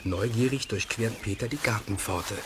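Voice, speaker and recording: A man playing the narrator of a children's radio play, telling the story in a measured, inquisitive way. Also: lowish voice, lightly acted. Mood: measured, intrigued. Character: male storyteller of a children's tale. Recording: noisy cassette transfer of radio play.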